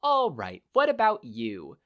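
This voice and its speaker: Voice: medium pitch, huge pitch swings, measured pace, a bit bright, voice pushed up. A performer voicing a confident, wisecracking woman superhero with casual, taunting quips in an audio story. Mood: impatient.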